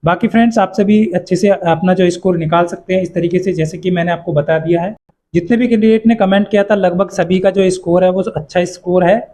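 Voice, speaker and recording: A man's voice: high voice, fairly even intonation, brisk, dark tone. A man presents an informal exam update. He explains things step by step in an instructive, reassuring manner. He tends to repeat his points for the listeners.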